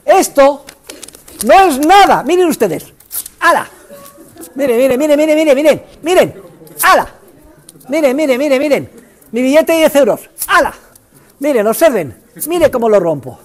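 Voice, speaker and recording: Older guy lecturing a big class, high voice, goes yelling to muttering. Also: very animated, normal speed, long pauses. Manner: theatrical, emphatic, swings from shouting to low asides. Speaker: economics professor. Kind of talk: university lecture. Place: large university classroom.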